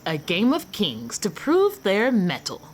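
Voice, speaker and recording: Woman acting proud female magical champion, radio play, theatrical, deliberate pace, very animated pitch, defiant. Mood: proud challenge. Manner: bold, theatrical, declamatory.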